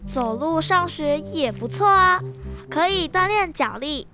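A woman's voice: very high voice, animated, measured pace, over music, full character voice. A schoolgirl acting a dutiful, bossy big sister in a children's radio drama. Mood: reasoning.

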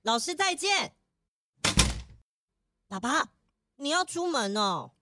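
A woman's voice: sits high, very animated, slow, heavy background noise, heavily acted. A woman voicing an eager, earnest young schoolboy with a childlike manner in a children's audio drama.

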